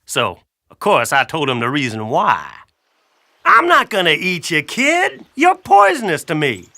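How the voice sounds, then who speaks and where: A man voicing a friendly, wry blackbird who tells a children's story in a folksy, chatty, expressive way. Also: on the high side, unhurried, full character voice. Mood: friendly, enthusiastic. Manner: folksy, expressive, addresses listener directly. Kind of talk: animated children's audiobook storytelling.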